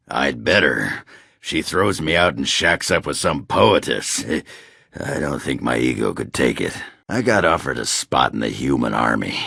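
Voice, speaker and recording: Game casting: boozy, blunt old-school dwarf brawler, raspy growl, slow drawl with big swings in pitch. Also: male voice, sharp tone, no echo, studio quality, exaggerated voice. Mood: boisterous, joking. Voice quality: gravelly, rough. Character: gruff, boozy, crude male dwarf warrior. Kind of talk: video game character acting.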